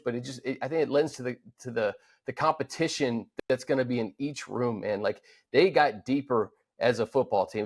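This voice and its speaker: Mid-pitched man, casual sports host. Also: a little mellow. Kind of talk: casual sports talk and commentary.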